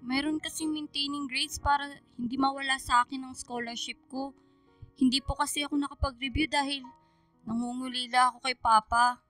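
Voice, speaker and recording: A woman's voice: sits high, steady tone, moderate pace, sharp tone, faint music behind. A woman playing an anxious, testy teenage schoolgirl in a Filipino radio drama. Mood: pleading.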